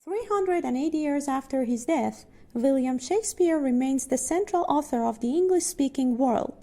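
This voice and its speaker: High soft-toned lady reading practice passages out loud, mellow sound. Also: lively intonation, normal speed. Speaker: pronunciation practice narrator. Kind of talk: read-aloud scripted narration.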